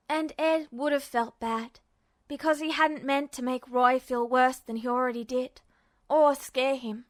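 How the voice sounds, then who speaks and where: A woman reading fan fiction aloud as an expressive, intense audiobook-style narrator. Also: sits high. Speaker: podfic narrator.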